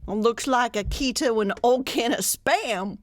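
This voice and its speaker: A woman plays a practical, gently needling sitcom wife in an old-time radio comedy. Her voice sits very high, recorded dry and close. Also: measured pace, no echo, natural voice. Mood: exasperated.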